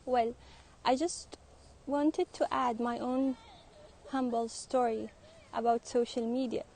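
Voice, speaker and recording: A young woman student interviewing people and then sharing her own thoughts in a vlog, speaking thoughtfully with pauses. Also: on the high side, fairly even intonation, darkish tone. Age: young adult. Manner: slow, thoughtful, hesitant delivery. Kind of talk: street interviews and personal vlog talk.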